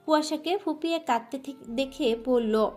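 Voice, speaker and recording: A woman narrating a scripted romantic audio story in a soft, emotive way. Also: high-pitched, normal speed, faint music behind.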